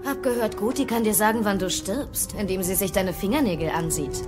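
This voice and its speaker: A woman's voice: medium pitch, a little fast, lightly acted. Woman playing confident young Viking, music behind. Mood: matter-of-fact.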